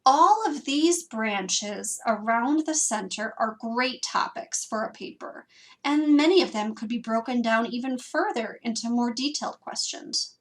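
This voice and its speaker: A young librarian with a Wisconsin accent and a fairly high voice walks through a lesson in a scripted way. She keeps it lively, asks lots of questions and teases herself a little. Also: measured pace. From Wisconsin, USA. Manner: lively, self-teasing, asks many questions. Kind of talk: scripted instructional narration.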